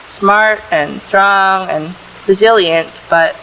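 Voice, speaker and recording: A woman's voice: mid-range voice, slow pace, pushed and bright. Woman acting an earnest, tender worried mother, noisy background. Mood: tender, wistful. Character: loving, worried mother of a teenager. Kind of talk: contemporary audio play acting.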